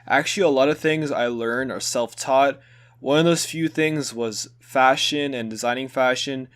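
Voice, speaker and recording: A young man whose first language is Hungarian talks through his school video project. His voice sits at an ordinary middle pitch, and he speaks loosely and casually, dropping in little fillers as he goes. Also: moderate pace, clear, resonant tone. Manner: relaxed, conversational, with filler words.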